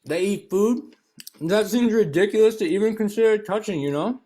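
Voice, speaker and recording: A speaker performing a theatrical monologue in a conversational, halting, knowing way. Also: medium pitch, warm tone.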